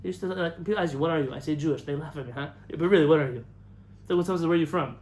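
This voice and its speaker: A young man from the United States giving a lively lecture as a rabbi, telling stories, acting out quotes and laughing at his own jokes. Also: on the high side, racing. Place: lecture hall in front of an audience. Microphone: camera recording of a live talk.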